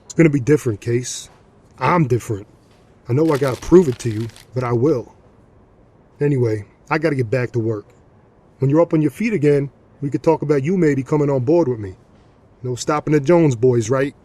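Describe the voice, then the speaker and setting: An actor playing a brawny middle-aged gang enforcer and reformed drinker, talking in a folksy, swaggering way in an audio drama. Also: male voice, mid-range voice, a little fast, warm tone, slight background noise, close to an everyday voice. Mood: earnest, subdued.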